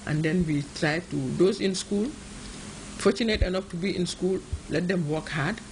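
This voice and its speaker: A woman from Gambia, a director, speaking earnestly and urging people on in a measured interview answer. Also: lowish voice, a little hiss or hum, low-quality recording. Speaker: women's affairs director.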